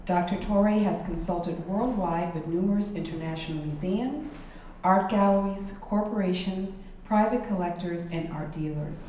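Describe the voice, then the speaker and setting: Woman host reading an intro off a sheet, low mellow voice, far off and roomy. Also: moderate pace, a little hiss or hum. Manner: formal, reads from paper. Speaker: event host. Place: small gallery room. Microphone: far camera. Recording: roomy, distant, dull lo-fi.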